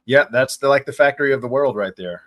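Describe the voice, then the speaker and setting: A man hosts a remote interview, his talk loose and chatty as he rambles into his questions. The sound is dry and close, with no room around him. His pitch sits in an ordinary middle range. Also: quick pace, a bit bright, no echo. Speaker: podcast host. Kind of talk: casual interview. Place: home in New Orleans.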